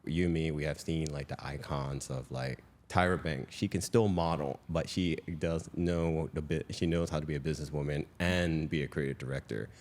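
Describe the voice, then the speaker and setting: A young man from Malaysia with a very deep, low voice, hosting a podcast. He talks in a loose, rambling way, often restarting his sentences mid-thought. The chat feels easygoing and unscripted. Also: fairly even intonation, normal speed, hardly any pauses, a little mellow. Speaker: podcast host. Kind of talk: casual interview chat.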